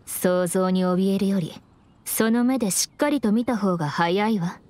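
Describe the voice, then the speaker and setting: A woman voicing a cool, aloof young nun in an anime game, speaking in a mature, detached and measured way. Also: medium pitch, a little mellow, dry recording, close to an everyday voice. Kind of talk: Japanese anime game voice acting.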